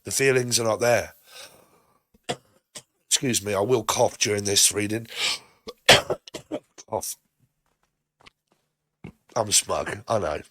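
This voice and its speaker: A British man with a hoarse voice from a lingering cough, giving a casual, rambling tarot reading and acting out both sides of imagined conversations. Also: fairly low pitch, slow, pauses often, sharp tone, dry recording. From Britain. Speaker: tarot reader.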